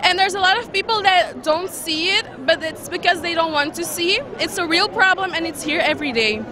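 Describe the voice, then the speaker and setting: A woman protester giving an earnest, measured interview answer. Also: extremely high pitch, sharp tone. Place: outdoors at a rally. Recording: crowd behind.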